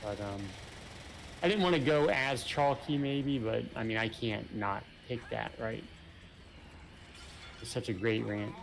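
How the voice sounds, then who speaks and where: A man's voice: mid-range voice, measured pace, creaky, pauses often, slight background noise. A man chatting casually while gaming, hesitant and full of fillers as he thinks aloud. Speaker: gamer co-op player.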